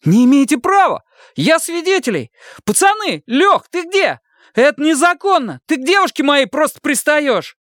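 A man voicing a desperate young male student whose high shouting voice cracks. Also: very animated, normal speed, no room sound, studio quality.

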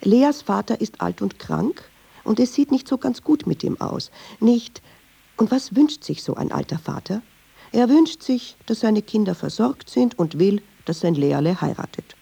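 A chatty, sardonic woman telling a story in a radio play. Her voice is husky and creaky, with lively swings in pitch. She digresses freely in colloquial speech and is quick to take offence. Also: full character voice. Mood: wry, chatty. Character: talkative, wry woman storyteller, easily offended.

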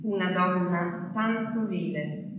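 An actress playing an anguished woman waiting in wartime Paris, delivering a grave, deliberate theatre monologue with long pauses. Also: fairly low pitch, distant mic, narrow, tinny audio. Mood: desolate, self-accusing. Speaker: stage actress. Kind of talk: dramatic theatre monologue from a war diary. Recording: very echoey hall from afar, faint noise.

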